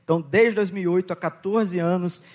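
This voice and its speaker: A young man with a Goiás accent preaching to a youth conference, joking with the crowd, reading scripture and praying in a quieter, lower voice. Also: fairly even intonation, brisk. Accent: Goiás Brazilian Portuguese. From Goiás, Brazil. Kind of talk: sermon with Bible reading and prayer.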